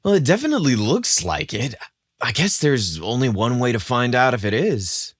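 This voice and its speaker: A man voicing an excitable young hiker in a story, full of exclamations and eager, dude-ish enthusiasm. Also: medium pitch, normal speed, breathy edge, no room sound, studio quality, voice pushed up. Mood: curious, excited. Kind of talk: dramatized story narration.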